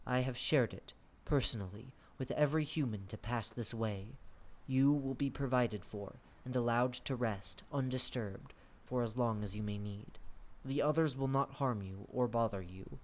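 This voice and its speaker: A performer voicing a commanding, gracious fae monarch in a formal, measured radio-play manner. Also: natural voice. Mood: quiet, gentle. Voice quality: deep.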